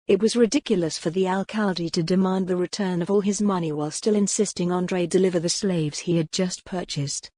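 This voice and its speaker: A woman's voice: lowish voice, steady tone, a little fast, hardly any pauses, dark tone, dry recording. Woman narrating fiction in a dry space. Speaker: audiobook narrator.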